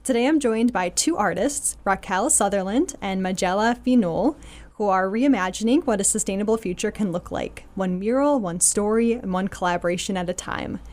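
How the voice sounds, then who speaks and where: A woman's voice: medium pitch, normal speed, a little mellow. A woman hosting a podcast, reading a scripted intro and asking guests questions in an upbeat, encouraging way. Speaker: podcast host.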